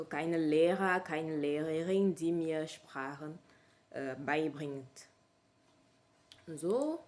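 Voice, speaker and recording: A woman's voice: low-pitched, darkish tone. A woman speaking German with a French accent as a learner, hesitant and often stopping to correct herself in a casual vlog. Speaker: language learner sharing her method. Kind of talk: casual vlog talk to camera.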